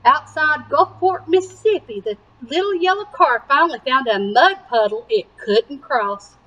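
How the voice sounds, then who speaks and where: A woman reading a picture book aloud to young children with animated emphasis and simple explanatory asides. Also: sits high, a little slow, dull audio. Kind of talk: slow, expressive children's read-aloud.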